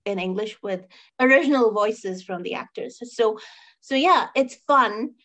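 A woman's voice: a little high, a little slow, fairly bright tone. A woman co-hosting a review podcast, talking casually and emphatically, often repeating phrases and checking in with questions. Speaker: co-host of a film and TV review show.

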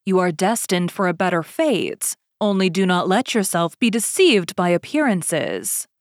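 A woman reading aloud voices a stately noble lady who counsels gently. The tone is gracious and reassuring, recorded close and dry. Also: fairly low pitch, very animated, unhurried, no echo.

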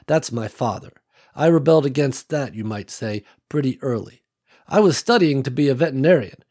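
A man's voice: medium pitch, animated, brisk, mellow. A man voicing a young woman who speaks earnestly and a bit hesitantly about being a reluctant leader.

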